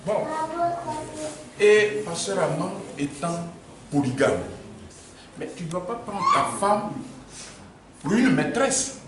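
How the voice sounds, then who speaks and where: A man tells the story of a church quarrel, his intonation rising and falling a lot as he circles back over points. He sits some distance from the mic in an echoey room, with steady background noise underneath. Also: sits high, slow pace, pauses often. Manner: animated storytelling with repetitions. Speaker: church member recounting a dispute. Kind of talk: spontaneous spoken account. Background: ambient room noise.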